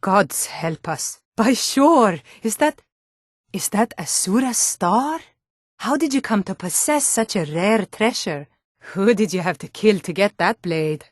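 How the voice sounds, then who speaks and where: A close, dry recording carries a hardy northern townswoman from a fantasy game. Her medium-pitched voice is earnest and weighty, with the bearing of a town guard delivering short remarks to a passerby. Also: animated, measured pace, dry recording. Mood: wary, then shocked, alarmed. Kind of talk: video game character voice lines.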